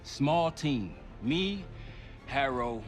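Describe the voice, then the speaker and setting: A steady male field operative in a military game. He talks at a middle pitch, terse and controlled with a defiant edge, while heavy music plays. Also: extremely slow. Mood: focused, matter-of-fact. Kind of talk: dramatic video game cutscene acting.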